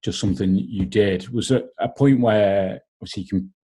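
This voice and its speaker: A man with a Manx English accent hosting a business podcast, measured and polite, a little hesitant when asking questions. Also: lowish voice, steady tone, warm tone, husky. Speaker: podcast host.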